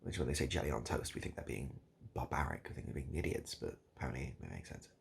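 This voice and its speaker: A British man doing improvised, absurd comedy straight to the listener in a hesitant, repetitive, deadpan ramble. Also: very deep voice, fast talker, vocal fry, slightly rough. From United Kingdom. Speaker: comedic video host. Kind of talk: improvised absurd comedy roleplay addressed to the viewer.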